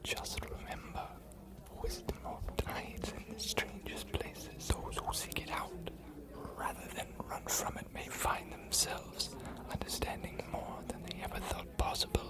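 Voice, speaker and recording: A woman voicing a scholarly ancient vampire archivist in an ASMR fantasy roleplay, cryptic and inviting, hushed and deliberate with weighty pauses. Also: lightly acted, low-quality recording. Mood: cryptic, encouraging.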